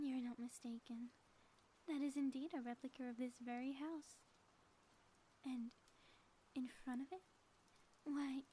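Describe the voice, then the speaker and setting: A high, mellow-voiced female supervillain played by a woman in an ASMR audio drama, sly and grandly theatrical. She taunts in soft, pompous, flowery phrases that often sink toward a whisper, with faint noise behind her. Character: gloating female supervillain inventor, sly, theatrical, secretly smitten.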